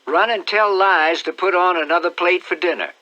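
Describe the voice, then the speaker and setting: A man voicing an excitable, fussy older rural aunt with shrill, scolding exclamations. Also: moderate pace, lo-fi sound. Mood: excited.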